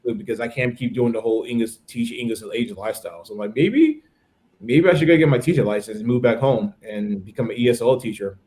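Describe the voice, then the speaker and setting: A man's voice: mid-range voice, quick pace, darkish tone. Chatty American man in his thirties. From United States. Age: 30s. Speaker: podcast participant, English teacher. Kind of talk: casual conversational interview.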